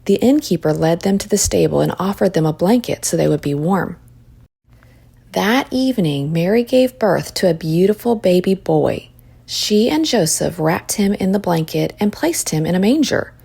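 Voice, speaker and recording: Woman reading a kids book out loud, very up and down, bit husky with some creak. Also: fairly low pitch, lively intonation, normal speed. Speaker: storyteller reading a children's book aloud. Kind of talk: expressive scripted storybook narration.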